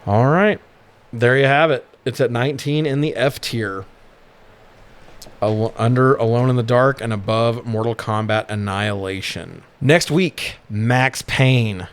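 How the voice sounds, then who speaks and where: A man with a clean, resonant voice at an average male pitch. He co-hosts a podcast and talks in an easygoing, conversational way. His wording is informal, with the odd swear word. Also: a little slow, clean tone. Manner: informal, occasionally profane. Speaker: podcast co-host. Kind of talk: casual podcast chat.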